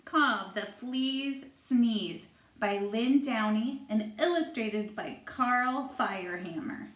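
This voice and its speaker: Female primary teacher, storybook read-aloud: unhurried, expressive phrasing, fairly high voice, echoey room. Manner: expressive, clearly spaced phrasing addressed to children. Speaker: first-grade teacher. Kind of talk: read-aloud of rhyming storybook for young children.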